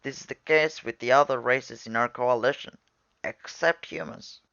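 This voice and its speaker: A man plays a patient alien military commander from an animated sci-fi film. He speaks formally and proudly, like a mentor briefing trainees. The recording is very dry and slightly dull. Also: medium pitch, gravelly, clear, resonant tone, no echo. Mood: calm, authoritative. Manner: formal, explanatory, measured.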